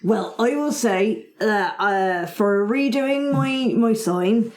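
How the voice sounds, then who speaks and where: A woman's voice: medium pitch, animated, slow, darkish tone, roomy sound. A woman voicing a male goblin alchemist who hobbles around his shop, chatty and fussy, with a hesitant, rambling sales patter. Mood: impressed, nosy.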